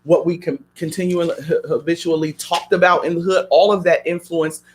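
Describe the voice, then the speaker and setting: A man's voice: fairly high pitch, fairly even intonation, brisk, a little hiss or hum. A man on a radio talk show, speaking in a preacherly way and building his points with strings of repeated questions. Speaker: guest.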